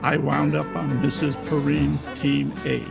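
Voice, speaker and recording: An actor playing a wry, deadpan private detective who narrates in 1940s radio style with a gravelly voice. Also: male voice, fairly high pitch, unhurried, music in the background. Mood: wry narration. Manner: deadpan, wisecracking. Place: home, recorded over video call.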